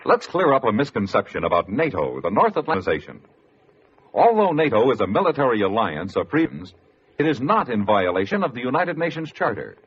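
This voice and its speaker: A man with a husky voice reading a formal, earnest public-service radio announcement. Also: medium pitch, sing-song, a little fast, noisy background. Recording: muffled old radio recording.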